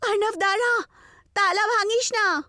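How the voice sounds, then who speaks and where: Woman acting a panicky, high-pitched young woman. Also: unhurried, bright, forceful tone, no room sound, clean studio sound, exaggerated voice. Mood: alarmed warning. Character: frightened young woman explorer, panicky and pleading. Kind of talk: audio horror story acting.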